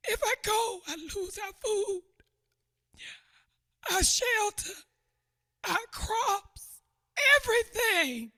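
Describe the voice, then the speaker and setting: A woman playing a grieving, pregnant widowed mother in the rural South, slow and pleading, in a radio theater play. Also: very high voice, lively intonation, pushed and bright, full character voice. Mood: desperate pleading.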